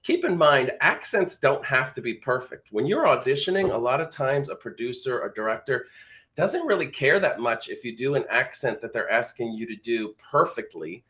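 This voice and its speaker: A man's voice: mid-range voice, lively intonation, normal speed, fairly bright tone. An American man coaching voice-over in a casual, encouraging, live-lesson style.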